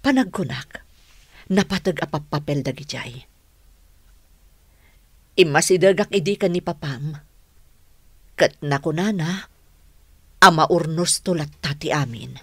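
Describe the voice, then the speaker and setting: A woman's voice: low voice, a little slow, long pauses, husky, no echo, clean studio sound, full character voice. A woman with an Ilocano accent acting in a radio drama as a respectful, careful household servant. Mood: careful, earnest.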